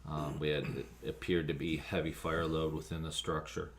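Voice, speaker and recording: Very deep, halting American man, noisy recording. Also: level tone. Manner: halting, with frequent ums and pauses. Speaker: fire officer being interviewed. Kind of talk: spoken account of an emergency call, interview.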